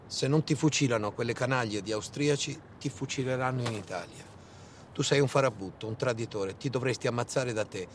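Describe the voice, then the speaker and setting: Male dramatic monologue actor: mid-pitched, emphatic, bitterly ironic storyteller, slight background noise. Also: brisk, lightly acted. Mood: contemptuous. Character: bitter, sardonic male storyteller of war captivity.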